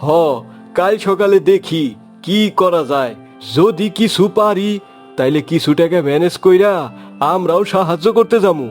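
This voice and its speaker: A man plays a practical, caring cartoon father. He speaks in a high voice with a colloquial Bangladeshi accent. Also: moderate pace, faint music behind, natural voice. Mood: concerned. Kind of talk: Bengali cartoon dubbing.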